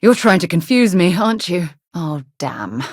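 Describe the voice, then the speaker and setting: An actress playing a principled, warm, battle-tested space explorer and former commander in a video game, earnest and articulate. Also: female voice, on the low side, big pitch swings, normal speed, dry recording, studio quality. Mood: wary, tense. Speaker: game companion character. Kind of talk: video game voice acting, dramatic dialogue.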